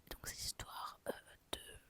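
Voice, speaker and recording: A young woman whispering softly into her phone, chatting through a haul of books and souvenirs with a gushing, filler-heavy manner. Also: measured pace. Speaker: vlogger.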